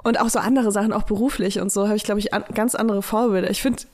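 A woman's voice: mid-range voice, steady tone, fast, a little mellow. A woman in her late twenties co-hosting a podcast, talking candidly in a rambling casual way with many fillers. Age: late 20s. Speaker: podcast co-host.

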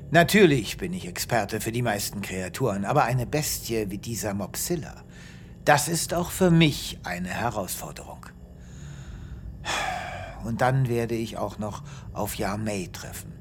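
An actor playing a self-important, restless space veterinarian with a theatrical manner in a comedic sci-fi audio drama. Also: male voice, fairly low pitch, slight background noise. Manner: pompous, theatrical.

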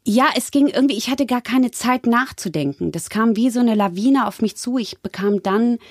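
A woman from Sweden, a voice actress, chatting in an interview and telling lively stories, often acting out other voices. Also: on the high side, moderate pace, no room sound, clean studio sound. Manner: lively storyteller, mimics voices and accents. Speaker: voice actress, guest. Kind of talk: casual interview chat.

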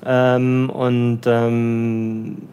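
A man's voice: mid-range voice, monotone, very slow, mellow, smooth. A man from Germany, raised in Bavaria, talks through his career in an easygoing interview. He hesitates often and restarts sentences, filling gaps as he thinks aloud. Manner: hesitant, many fillers and restarts. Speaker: interviewee, development finance professional in Lagos. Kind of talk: casual interview answers.

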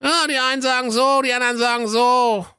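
A man's voice: extremely high pitch, fairly even intonation, fairly quick, bright, forceful tone, smooth, no echo, studio quality, pitched above the performer's own voice. A man voicing a grumpy, sly evil little male dwarf in a comic fairy tale, grumbling and scheming.

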